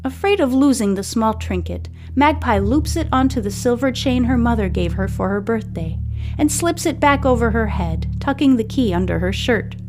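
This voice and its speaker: A woman's voice: on the high side, animated, moderate pace, over music. A woman hosting and narrating a scripted story, reading a book aloud.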